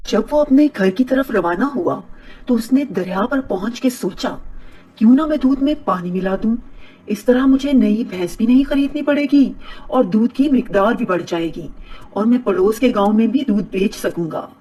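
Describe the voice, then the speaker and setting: A woman's voice: on the high side, expressive, normal speed, mellow, slightly rough, distant mic, poor audio. A woman narrating a children's moral tale in a scripted storytelling style.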